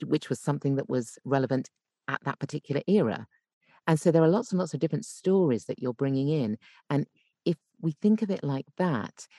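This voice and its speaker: Woman with a mellow, expressive voice. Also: a bass voice, no echo, clean studio sound. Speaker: guest voice coach. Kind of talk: conversational explaining on a podcast.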